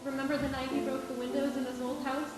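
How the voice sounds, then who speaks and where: A woman's voice: high-pitched, monotone, quick pace, lightly acted. A woman playing a devoted, gracious young wife in a live stage radio play. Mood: tender, gracious. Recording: echoey stage with music.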